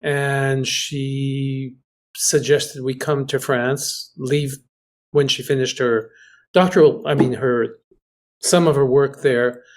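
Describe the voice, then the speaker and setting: Halting man recalling things in an interview. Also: medium pitch, steady tone. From Greece. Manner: hesitant, halting, pausing to find words. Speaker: interview guest, researcher. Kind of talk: conversational recollection. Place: remote internet video call.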